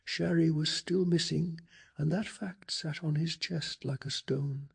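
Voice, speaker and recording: A man softly narrating a bedtime story in ASMR style, hushed and calming, with a breathy, gravelly voice. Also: a little high, measured pace.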